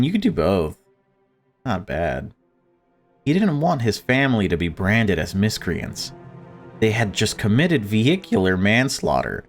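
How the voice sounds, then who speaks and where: Low-voiced man narrating a visual novel. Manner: informal, sweary asides. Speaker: let's-player.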